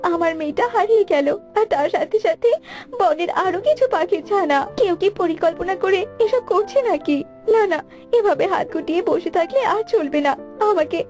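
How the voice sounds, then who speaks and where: Woman as a high fretful mother bird. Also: darkish tone. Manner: fretful, questioning. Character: anxious, resolute mother bird missing her daughter. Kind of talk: children's fairy-tale cartoon voice.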